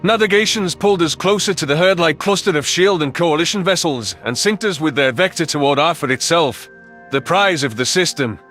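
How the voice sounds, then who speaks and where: A man's voice: on the high side, fairly quick, a bit bright. A man reading scripted, dramatic first-person science fiction narration as an audiobook narrator. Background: background music.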